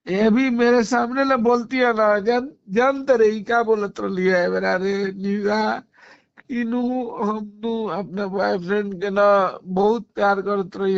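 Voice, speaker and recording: A man's voice: high voice, vocal fry. A man with a Bhojpuri accent in a heated personal argument, speaking in an insistent, confrontational way and slipping into dialect. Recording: muffled, lo-fi viral phone-call recording.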